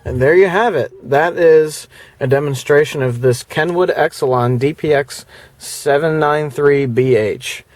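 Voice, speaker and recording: A man talking casually as he shows off the stereo in his car, chatty and relaxed, with the odd filler and restart. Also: a little high, measured pace. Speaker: car audio reviewer. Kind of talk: casual hands-on product demo. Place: inside a car. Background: faint music from the car stereo.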